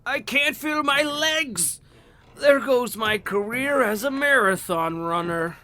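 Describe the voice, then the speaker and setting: A woman playing a slow, earnest, hapless male turtle patient with a plaintive manner in a comedic audio drama. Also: a little high, lively intonation, sharp tone, heavily acted. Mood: plaintive, worried.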